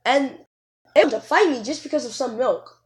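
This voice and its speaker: High-voiced woman telling rambling casual stories. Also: normal speed, breathy edge. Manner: animated, digressive, self-correcting. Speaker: video creator telling stories. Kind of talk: casual rambling storytelling to viewers.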